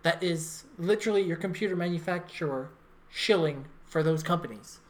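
A woman's voice: fairly low pitch, moderate pace. A woman co-hosting a podcast, chatting casually and giving her opinions in a blunt, sardonic manner. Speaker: podcast co-host.

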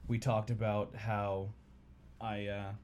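A man hosting a casual podcast over beers, talking in a relaxed, rambling way with lots of fillers. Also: on the low side, slow, a little hiss or hum. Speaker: podcast host.

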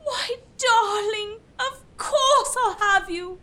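A woman's voice: very high-pitched, takes it slowly, pushed and bright, heavily acted. A woman playing a sweet, melodramatic young heroine in love in a gothic comedy audio drama. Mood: tender, urgent.

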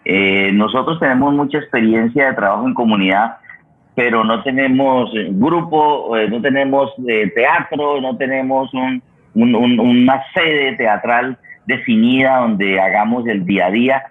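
A male host with a Colombian accent speaks in a mid-pitched voice. He is halting, doubling words and restarting phrases as he goes. The audio is thin and narrow, like a telephone line. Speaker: host of an online radio programme. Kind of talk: unscripted hosting talk. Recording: tinny, phone-like internet connection.

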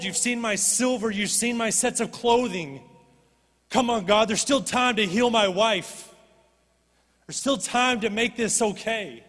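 Preaching man in an echoing church hall. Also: high-pitched. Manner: animated, rises to impassioned pleading. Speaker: pastor. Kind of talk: sermon. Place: church stage. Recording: long reverb of a church hall.

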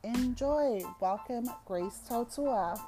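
A woman's voice: on the high side, takes it slowly, loud music behind the voice. A woman hosting a casual podcast interview, chatty and rambling, with lots of fillers and restarts. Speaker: podcast host.

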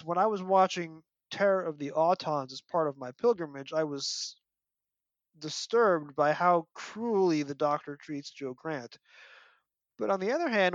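A man's voice: on the high side, no room sound. A man giving an articulate, detailed analysis as a guest in a podcast discussion. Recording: dull, dry lo-fi audio.

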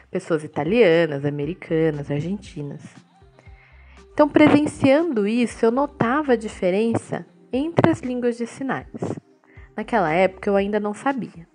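A woman giving interview answers in a measured, steady, explanatory way, voicing them in translation. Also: mid-range voice.

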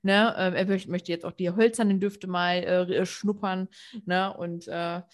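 A woman's voice: lowish voice, steady tone, a little slow. Woman, podcast co-host, chatty, rambling storyteller. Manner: chatty, rambling, frequent tag questions. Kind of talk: casual storytelling chat.

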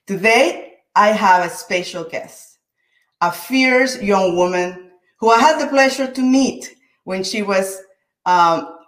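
A woman hosts an interview show in an earnest, rallying tone. Her voice sits at an ordinary pitch. The room around her rings with noticeable echo. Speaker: show host.

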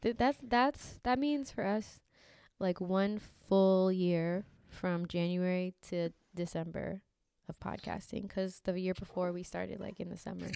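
A young woman co-hosting a podcast in casual chat, a little congested from allergies, chatty and quick to laugh. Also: on the low side, vocal fry, mellow, clean tone, no echo. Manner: chatty, laughs often, lots of fillers. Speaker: podcast co-host.

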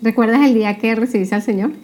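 A woman's voice: on the high side, quick pace, a little mellow, a little hiss or hum. Effusive woman hosting an interview. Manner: effusive, frequent affirmations. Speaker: host.

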